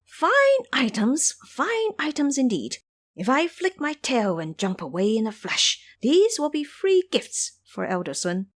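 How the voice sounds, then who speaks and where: A woman's voice: high-pitched, huge pitch swings, a little slow, voice pushed up, heavily acted. A woman voicing a boastful, crafty male monkey trickster in an audio storybook. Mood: gleeful, scheming.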